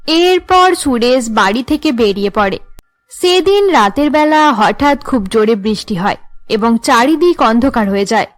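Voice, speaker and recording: High-pitched woman narrating a story. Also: animated, measured pace. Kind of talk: scripted cartoon story narration.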